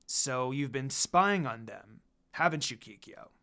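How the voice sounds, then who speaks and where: A reader voicing a cocky, possessive young half-demon man in a low-key way for a fan story. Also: expressive, measured pace, bright, forceful tone. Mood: suspicious.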